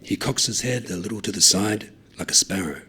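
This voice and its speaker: A man reading a short story aloud, acting out the voices of the characters, loud on some lines and hushed on others. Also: fairly low pitch, a little fast, slightly rough. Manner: lively, acts out the dialogue, shouting some lines and dropping to a hush on others.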